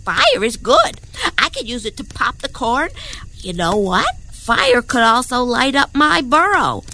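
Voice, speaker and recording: A woman voicing an eager, naive young male rabbit in a storybook tale, gasping and excitable. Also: on the high side, measured pace, full character voice. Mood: scheming. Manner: excitable, gasping, boastful then sheepish.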